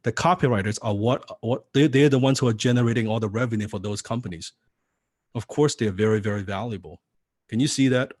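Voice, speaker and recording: A man's voice: fairly low pitch, brisk, darkish tone, no room sound, studio quality. A man teaching copywriting in a live seminar, non-native in English, firing rhetorical questions at the audience. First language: not English.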